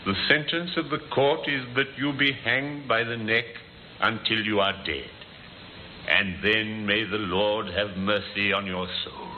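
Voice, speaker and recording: An actor playing a solemn male judge, speaking formally and gravely in old radio drama style. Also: on the low side, a little slow, natural voice. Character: solemn male judge pronouncing sentence in court. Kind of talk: 1950s radio drama acting. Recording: tinny old radio recording, faint noise.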